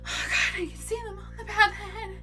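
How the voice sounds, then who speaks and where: A woman playing a terrified, tormented village girl in a horror radio drama, halting and pleading. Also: extremely high pitch, level tone, sharp tone, faint music behind, heavily acted.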